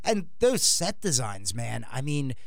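A man hosting a podcast in casual chat, rambling and animated, with many restarts. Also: mid-range voice, unhurried, creaky, dry recording, clean studio sound. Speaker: podcast host.

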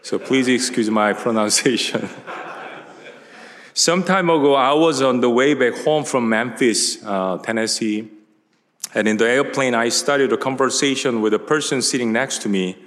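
A man in his fifties from South Korea with a Korean accent, preaching a relaxed, conversational sermon with many filler words. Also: medium pitch, normal speed. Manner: relaxed, conversational, frequent uh and you know fillers. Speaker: pastor. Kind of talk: sermon with personal storytelling. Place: large church sanctuary at a pulpit. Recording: long hall reverb.

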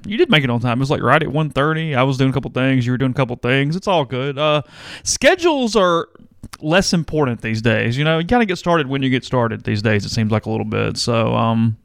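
A man co-hosting a podcast in a studio, easygoing and slightly hoarse, mixing casual talk with ad reads. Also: medium pitch, fairly quick, dry recording. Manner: relaxed, easygoing. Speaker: podcast co-host. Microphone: studio microphone.

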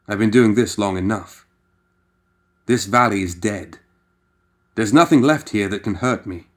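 A man's voice: on the low side, a bit bright. A man voicing an arrogant, confident male treasure hunter who talks down to others. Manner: dismissive, confident, declaiming.

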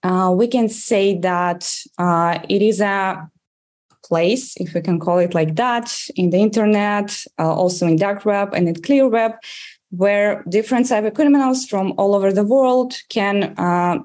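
A woman's voice: medium pitch, a little mellow. Russian-accented woman explaining carefully. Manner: measured, explanatory, with frequent "uh" pauses. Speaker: guest, threat research director. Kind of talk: interview answers.

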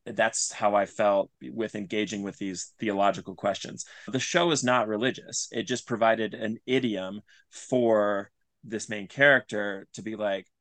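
A man's voice: lowish voice, a bit bright, no echo. A man speaking as an interview guest in casual, reflective conversation, earnest and informal.

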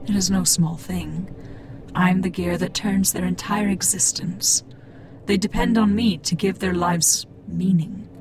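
An actress playing a cold, haughty machine-cult priestess who speaks in grave, deliberate pronouncements in a sci-fi audio drama. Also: female voice, lowish voice, steady tone, darkish tone, slight background noise. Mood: bleak, weary. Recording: dramatic music under the voice. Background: music.

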